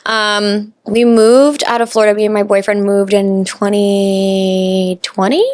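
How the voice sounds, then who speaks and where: A woman's voice: medium pitch, level tone, measured pace, darkish tone, dry recording. A woman in her twenties from New York with an American accent, chatting casually and informally in slangy speech as a podcast guest. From New York, USA. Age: 20s. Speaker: podcast guest, pageant titleholder. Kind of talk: casual chat.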